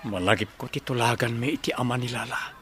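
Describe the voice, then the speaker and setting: A man's voice: mid-range voice, fast, a bit bright, close to an everyday voice. Man playing an earnest, conflicted young father. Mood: troubled. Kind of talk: Ilocano radio drama acting.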